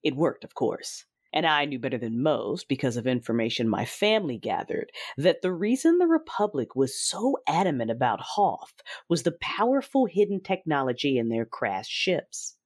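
An actor playing a cruel, vengeful Sith lord, narrating an audio drama in a deliberate, commanding, menacing way with a husky voice. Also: medium pitch, very animated. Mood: cold gloating. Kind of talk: first-person audio drama narration with dialogue. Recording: dramatic music behind the voice. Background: music.

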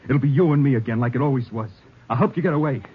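An American actor playing a soft-hearted young husband, pleading, in a 1950s radio drama. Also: male voice, mid-range voice, rapid-fire, husky, close to an everyday voice. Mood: desperate, resolved. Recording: muffled old radio recording.

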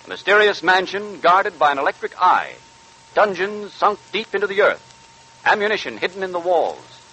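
A man's voice: sits high, sharp tone, slightly rough, low-quality recording. An American man announcing on 1940s radio in a polished, scripted advertising style. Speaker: radio announcer reading a sponsor ad.

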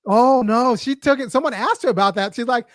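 A woman hosting a casual political chat, talking fast and animated and often laughing. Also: a little high, no echo, clean studio sound. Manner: animated, laughing, acts out quotes. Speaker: podcast host.

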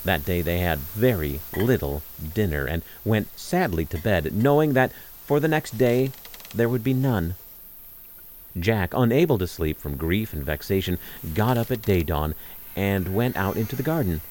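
Expressive low-voiced male storyteller. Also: moderate pace, slight background noise, poor audio. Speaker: storyteller, narrator. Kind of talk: scripted fairy tale narration.

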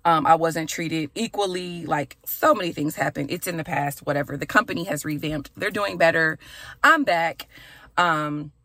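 A woman in her thirties hosting a podcast from her car, chatty and raspy, saying a prayer for her listeners. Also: sits low, normal speed, dry recording. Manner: chatty and warm, with "y'all" and "okay". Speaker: podcast host. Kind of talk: casual chat with prayer.

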